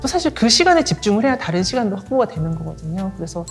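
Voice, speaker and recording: A woman of forty, an author being interviewed, answering in a measured, polite way with light laughs. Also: mid-range voice, big pitch swings, creaky, soft background music. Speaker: author guest.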